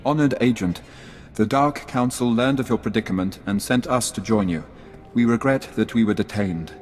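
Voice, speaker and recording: An actor playing a formal, imperious envoy of a dark council in a sci-fi game, with a stately, officious delivery. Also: male voice, lowish voice, moderate pace, natural voice. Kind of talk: scripted sci-fi game acting. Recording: long hall reverb, faint music.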